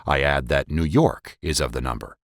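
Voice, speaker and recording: An American man with a trained voice-actor delivery, reading scripted historical narration in a measured, deliberate, formal way. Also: very deep voice, no echo, clean studio sound. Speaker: podcast host and narrator.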